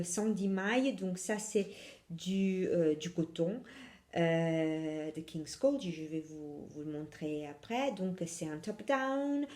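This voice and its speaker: A woman's voice: on the low side, mellow, roomy sound. A young woman from Italy with an Italian accent, hosting a casual knitting podcast in French, hesitant with many fillers and self-corrections.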